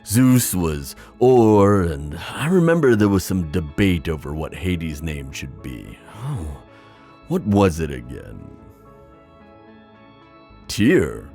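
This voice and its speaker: A man playing a wistful, theatrical sea god in an ASMR fantasy roleplay, musing with hesitant pauses and drawn-out phrasing. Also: deep voice, very animated, a little mellow, soft background music, heavily acted. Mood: pensive.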